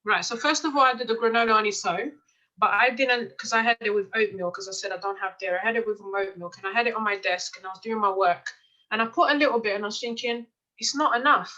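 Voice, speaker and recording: A bright, clear woman tells her stories in a relaxed, chatty way. She often restarts her sentences and fills pauses with "um" and "uh." Her pitch sits in the middle of the range. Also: highs cut off. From Mozambique. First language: Portuguese. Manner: chatty storytelling, frequent fillers and restarts. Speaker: life coach and client. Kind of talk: testimonial interview, casual.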